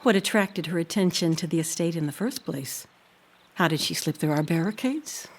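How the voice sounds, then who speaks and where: An actress playing a kindly, reflective housekeeper in an audio drama, speaking in a grave, deliberate way. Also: female voice, on the low side. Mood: sombre.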